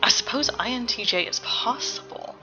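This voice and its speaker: A woman's voice: fairly high pitch, animated, normal speed. A woman giving casual reaction commentary on a game, musing analytically and swearing freely. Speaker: reaction video host. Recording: dull lo-fi, faint music behind. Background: faint game music.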